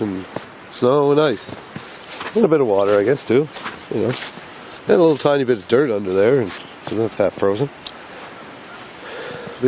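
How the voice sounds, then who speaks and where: A man with a cold, stuffed up and hoarse, chatting casually, swearing and laughing while he drives his truck through snow. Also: mid-range voice. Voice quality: hoarse, scratchy. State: stuffed up with a cold, voice going froggy. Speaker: vlogger. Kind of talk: casual rambling vlog while driving. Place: in a vehicle, driving in snow. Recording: loud vehicle and road noise.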